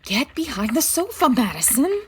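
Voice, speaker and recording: An actress playing a brisk, confident female private detective in a comic 1940s-style radio drama, commanding and take-charge. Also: high-pitched, sing-song, slight background noise. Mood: bossy.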